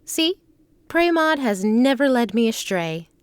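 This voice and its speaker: A woman playing an earnest, guarded traveling performer with formal, measured phrasing in a fantasy audio drama. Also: a little high, sing-song, clean tone, dry recording. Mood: assured.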